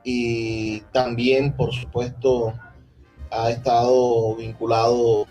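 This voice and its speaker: A man introducing a speaker at a live event, in halting, deliberate phrases. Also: medium pitch, flat delivery, mellow, soft background music, rough recording. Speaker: event presenter. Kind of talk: live introduction at a book presentation. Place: live public event in a theatre.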